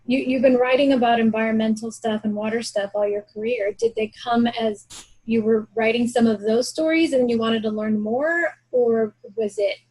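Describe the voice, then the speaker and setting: A woman's voice: fairly high pitch, moderate pace, darkish tone, husky, poor audio. A woman hosting a book club interview, asking long questions that she rephrases as she goes. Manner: rambling, rephrases her questions mid-way.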